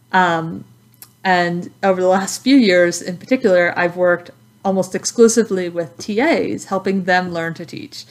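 A woman from Texas with a Texan accent, an instructor giving a recorded welcome introduction in a friendly, measured way with many ums. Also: lowish voice.

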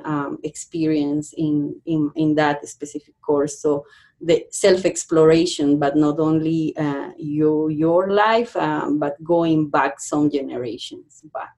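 Low-voiced Peruvian-born woman educator. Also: darkish tone. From Peru. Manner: thoughtful, halting, many fillers and restarts. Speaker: university educator, guest. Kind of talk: podcast interview conversation.

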